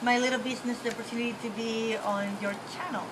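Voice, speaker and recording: A woman's voice: fairly high pitch, fairly even intonation, fast talker, noisy background. A woman from the Dominican Republic with a Dominican Spanish accent, answering interview questions casually and candidly, correcting herself as she goes. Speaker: interview guest, small business owner.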